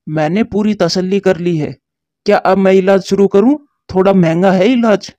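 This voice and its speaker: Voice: mid-range voice, normal speed, dark tone, no room sound, lightly acted. A voice actor playing a male owl doctor in a cartoon, grave and lecturing. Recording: dull lo-fi voice track.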